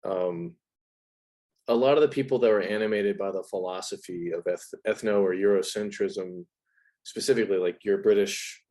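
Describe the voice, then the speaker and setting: A man's voice: sits low, normal speed, mellow. A man speaking as an interview guest in a casual, analytical chat, reflective and informal, with plenty of "like" and "you know.".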